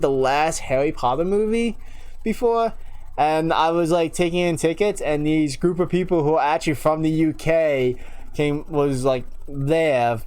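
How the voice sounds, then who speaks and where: A young man streaming live, rambling casually to his viewers and often losing track mid-thought. His voice sits a little high and sounds smooth and clear, with a slight unusual lilt. He chuckles often as he talks. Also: a little mellow. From United States (New York). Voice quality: slight unusual lilt left from a childhood speech impairment.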